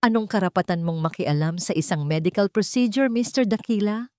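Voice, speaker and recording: Scornful male official read by a woman, accusing and condescending, raised pitch, dry story recording. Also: brisk, warm tone, dry recording, lightly acted. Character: stern, scornful male hospital official interrogating an underling.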